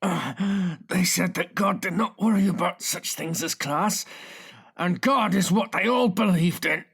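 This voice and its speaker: A man's voice: high-pitched, measured pace, gravelly, a bit bright, slightly rough, voice pushed up, full character voice. A man voicing a wounded, bitter man who talks through pain with strained, mocking laughter, in a dramatic audiobook. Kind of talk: dramatic audiobook character acting.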